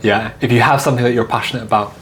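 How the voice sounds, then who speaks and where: A man's voice: medium pitch, rapid-fire, a little hiss or hum. A young man in his twenties who grew up in China, talking casually as an interview guest, often repeating words and filling with "like". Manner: relaxed, repeats words, many "like" fillers. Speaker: guest videographer.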